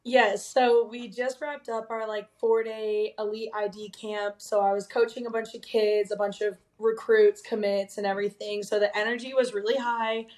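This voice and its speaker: A young woman from Colorado, a college soccer player, talking casually as an interview guest; her voice is raspy while it recovers from being lost, and she laughs often. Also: mid-range voice, little pitch movement, normal speed, few pauses, a little mellow. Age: young adult. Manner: upbeat, laughs often, says "like" a lot.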